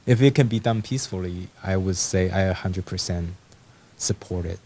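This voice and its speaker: A young man answering an interview in an animated way, with some restarts. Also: low-pitched, normal speed. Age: young adult.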